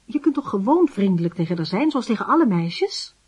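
A woman acting in a radio play as a mother who gently admonishes and reasons with her son. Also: medium pitch, sing-song, a little fast, breathy edge. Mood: gently chiding. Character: gently chiding mother of a lovestruck schoolboy.

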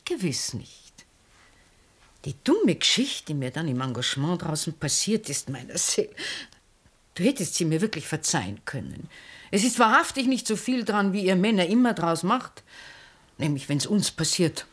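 An actress playing a vivacious, effusive middle-aged former stage star with an Austrian accent in a 1960s radio drama. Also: female voice, on the low side, big pitch swings, normal speed, breathy edge. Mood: wistful reproach. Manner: theatrical, effusive, often breaking into laughter. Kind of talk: 1960s German-language radio drama acting.

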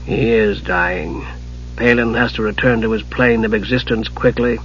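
A man voicing a cold, irritable archmage in a storybook, speaking in a hushed, husky, dry and disdainful way. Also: on the low side, normal speed, highs cut off, slight background noise, lightly acted. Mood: grave, dry. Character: bitter, irritable male archmage, cold and menacing.

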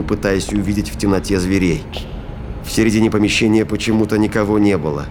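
A man narrating a science fiction thriller audio drama in a scripted, dramatic storytelling style. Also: fairly low pitch. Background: prominent music and sound effects.

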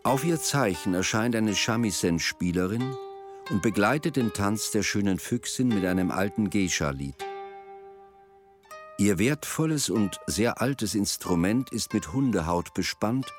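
Low guy narrating a radio play fairy tale, measured pace of a storyteller, plucked strings playing clearly behind him. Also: expressive. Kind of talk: scripted radio drama narration of a Japanese folk tale. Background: plucked string music.

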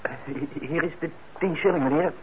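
An actor in a radio play as a shy, eager young working-class lad who stammers and hesitates. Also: male voice, fairly high pitch, normal speed, close to an everyday voice. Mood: nervous, grateful. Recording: dull, noisy old radio recording.

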